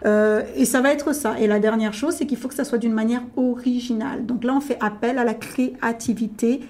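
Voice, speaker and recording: A middle-aged woman, a native French speaker, talking as a guest about her contest in an emphatic, animated way. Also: fairly high pitch, a little fast. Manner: emphatic, animated, addresses listener directly. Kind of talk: casual interview talk.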